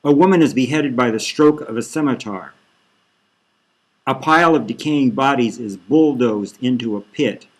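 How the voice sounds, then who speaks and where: A man reading his own novel aloud in the first person, in an expressive, lively manner. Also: mid-range voice, moderate pace. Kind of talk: first-person audiobook narration.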